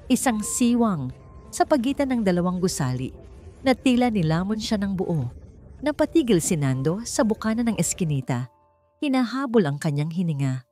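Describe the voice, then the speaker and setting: A woman with a Filipino accent reading a dramatic story in a measured, emotive way. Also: fairly low pitch, mellow. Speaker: audiobook narrator. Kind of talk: dramatic scripted story narration. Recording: narration over background music.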